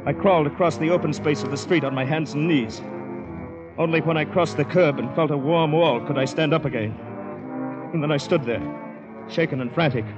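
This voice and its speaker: An actor playing a panicky, polite, shell-shocked Canadian man who narrates his ordeal intensely and haltingly in a 1950s radio drama. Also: a little high, close to an everyday voice. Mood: panicked, tense. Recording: dull vintage radio recording, music behind.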